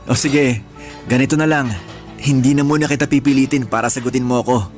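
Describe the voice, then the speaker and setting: Male actor for sincere, persistent young suitor in radio romance; mid-pitched, pleading delivery over soft music. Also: steady tone, brisk, close to an everyday voice. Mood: hopeful, coaxing. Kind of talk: radio romance drama acting. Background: background music.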